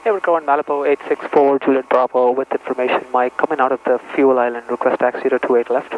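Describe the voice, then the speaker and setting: A man's voice: medium pitch, steady tone, fast talker. A man, a pilot, making procedural calls to air traffic control over the radio. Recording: dull, noisy aviation radio.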